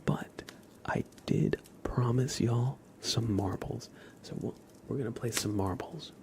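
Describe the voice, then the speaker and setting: A man hosting an ASMR livestream, chatting casually with viewers in a soft, breathy half-whisper, sometimes drawing words out and repeating them. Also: mid-range voice, takes it slowly. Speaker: livestream host.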